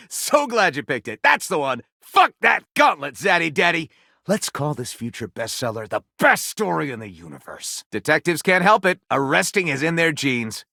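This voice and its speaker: A grandiose male storyteller for a video game, flirty and wry. His delivery swoops dramatically across a wide range, with a bright, crisp edge. The sound is close and dry, and he narrates like a self-important author tossing in dry asides. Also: on the high side, no room sound. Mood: delighted, then wry, amused. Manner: pompous, theatrical book narration with wry asides.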